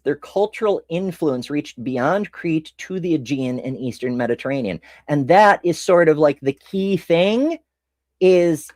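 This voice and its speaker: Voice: mid-range voice, darkish tone, no echo, clean studio sound. An American host giving casual history commentary, rambling and self-interrupting with casual swearing. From United States.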